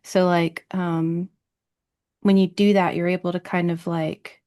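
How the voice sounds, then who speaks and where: A woman's voice: on the low side, flat delivery, measured pace, warm tone, smooth. Chatty woman podcast host. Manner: chatty and explanatory, with many "like" and "right?". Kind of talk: conversational interview.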